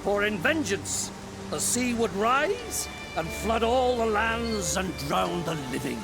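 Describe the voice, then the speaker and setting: An actor playing a proud, stern older scholar in a game cutscene, telling a legend in a measured, grave way. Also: male voice, sits high, big pitch swings, gravelly, exaggerated voice, low-quality recording. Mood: solemn. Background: game score music.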